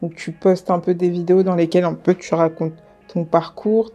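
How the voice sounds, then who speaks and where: A woman hosts a casual interview and asks calm, direct questions. Her voice sits a little low, with a dark, mellow tone. Faint music plays beneath her. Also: moderate pace, lo-fi sound. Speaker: host.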